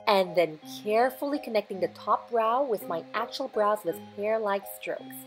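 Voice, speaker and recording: A young woman from Indonesia talks through a makeup look in a hoarse, slightly strained voice. Her pitch sits in the middle range, and her delivery is chatty and instructive as she explains each step. Soft music plays faintly behind the close-miked voiceover. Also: lively intonation, normal speed. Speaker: makeup tutorial creator. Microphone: close voiceover mic.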